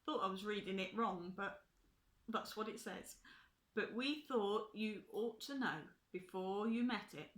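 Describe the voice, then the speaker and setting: Older woman reading slowly in an echoey room. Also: mid-range voice, fairly bright tone. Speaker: grandmother reading a storybook. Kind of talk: children's story read aloud with chatty asides.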